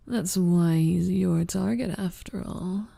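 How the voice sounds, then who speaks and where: Casting: female actor for smug seductive succubus rival, whispery, creaky, slow-paced ASMR roleplay. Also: fairly low pitch, dark tone, no echo, full character voice. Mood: smug, teasing. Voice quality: breathy, whispery.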